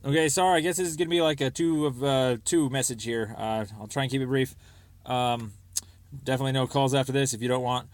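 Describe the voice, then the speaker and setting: A man's voice: medium pitch, fast, no room sound. A young American man talks through a long personal voicemail. He sounds aggrieved and insistent, circling back over the same points in a rambling way. The sound is dry, with very little room around him. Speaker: caller leaving a voicemail.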